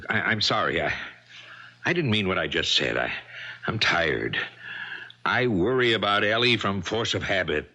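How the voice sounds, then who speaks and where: An actor playing an anxious, guilt-ridden husband in a radio mystery, with a halting, agitated delivery full of stammered repetitions. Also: male voice, fairly low pitch, animated, bright, forceful tone, full character voice. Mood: pleading, weary. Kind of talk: 1970s radio mystery acting.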